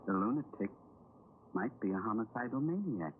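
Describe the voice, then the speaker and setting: Old radio thriller, man acting as eccentric creepy stranger, low pitch, quietly menacing, pedantic, thin band-limited sound, faint noise. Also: animated, normal speed, natural voice. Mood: menacing calm. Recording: tinny, noisy old radio broadcast.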